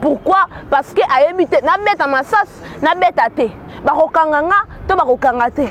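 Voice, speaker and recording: A woman's voice: high-pitched, moderate pace, fairly bright tone. A woman from Kinshasa with a Congolese accent giving earnest spoken commentary, often repeating words as she searches for them. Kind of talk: spoken commentary mixing Lingala and French.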